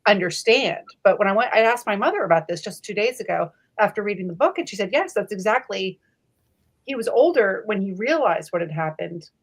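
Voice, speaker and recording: A woman's voice: mid-range voice, animated, brisk. A woman hosting a casual book club chat, effusive and fond of telling anecdotes. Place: live online video chat.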